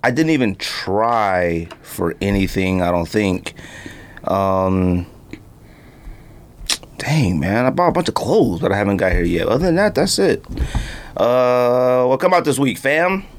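A man's voice: on the low side, expressive, measured pace, a little hiss or hum. A man co-hosting a podcast, bantering casually about sneakers in slangy, storytelling talk. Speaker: podcast co-host.

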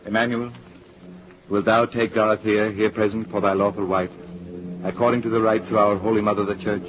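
An actor playing a solemn priest with a measured, ceremonial delivery in a vintage radio drama. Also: male voice, fairly low pitch, flat delivery, natural voice. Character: solemn priest officiating a wartime wedding. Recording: muffled, very noisy old radio drama.

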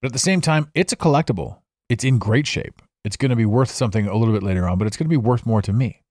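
A man's voice: mid-range voice, animated, fast, darkish tone, dry recording. A man hosting a solo podcast from his home studio, rambling and reflective, with frequent restarts. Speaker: podcast host. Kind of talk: impromptu solo podcast monologue. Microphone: studio microphone.

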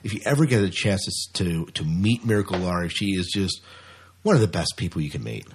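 A man's voice: low voice, lively intonation, a little fast, slight background noise. A man co-hosting a podcast, talking through entertainment news in a casual, talkative way with repeated phrases for emphasis. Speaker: podcast co-host.

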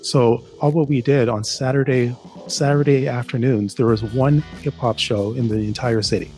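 A man's voice: mid-range voice, steady tone, normal speed, darkish tone, faint music behind, low-quality recording. A middle-aged man from Jamaica, a guest author, telling stories enthusiastically in a casual interview. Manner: enthusiastic storyteller, some restarts, laughs.

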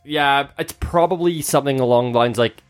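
A man's voice: mid-range voice, a little fast, clear, resonant tone, faint music behind. A man on a casual panel, cracking dry jokes and reasoning things out aloud. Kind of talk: casual podcast banter.

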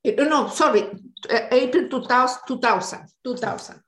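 Older Chilean woman, higher voice, roomy sound. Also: moderate pace, fairly bright tone. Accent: Chilean Spanish. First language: Spanish. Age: late 60s. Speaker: interview guest, retired NGO director. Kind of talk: reminiscing in an interview.

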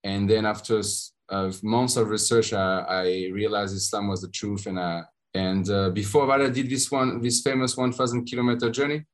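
A man from France with a French accent, a podcast guest telling his story in a halting way with frequent pauses and restarts. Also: lowish voice, fairly even intonation. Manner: halting, many uh fillers and restarts. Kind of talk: conversational interview storytelling.

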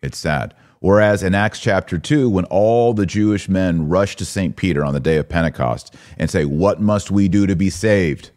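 Guy with a deep voice doing preachy podcast talk, really emphatic, asks rhetorical questions. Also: normal speed, few pauses, a little mellow. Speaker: podcast host. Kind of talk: religious discussion, preaching commentary.

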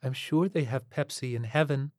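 A man voicing a waifish young female New Age singer in an audiobook story, soft, airy, slow and dreamy. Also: fairly high pitch, no room sound, studio quality, voice pushed up. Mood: serene.

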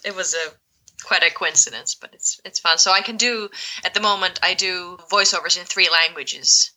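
A woman's voice: lowish voice, bright, forceful tone, low-quality recording. A woman, a voice actor and presentation coach, answering interview questions in a chatty way, laughing often. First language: Finnish and English.

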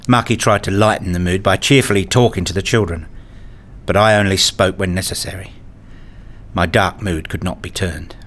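A weary father played by a man tells his own story in a radio play. His voice is fairly low, and his delivery is measured and sombre. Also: fairly even intonation, fairly bright tone. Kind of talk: first-person radio play narration and acting.

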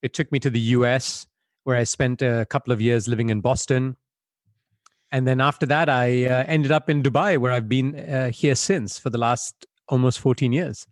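A man's voice: medium pitch, fairly even intonation, normal speed, dry recording, studio quality. A man from Belgium, a guest on an interview, answering in a reflective, conversational way with frequent fillers over a video call.

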